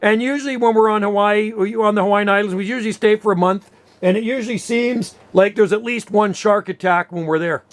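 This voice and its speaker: A man's voice: high voice, a little fast. A Canadian man in a casual, chatty radio conversation, explaining things as he goes. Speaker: amateur radio operator, host.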